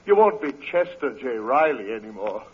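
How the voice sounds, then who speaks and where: An actor playing a pompous, scheming older uncle in a 1940s radio comedy, florid in speech and stammering when flustered. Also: male voice, medium pitch, big pitch swings, normal speed, breathy edge. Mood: anxious, persuasive. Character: pompous, scheming old uncle, fussy and evasive. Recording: dull vintage radio recording.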